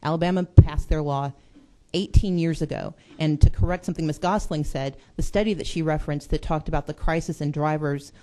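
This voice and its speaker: A woman's voice: sits low, fairly even intonation, a little fast, mellow. A woman legislator giving an earnest, persuasive speech in a committee hearing. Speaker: state legislator.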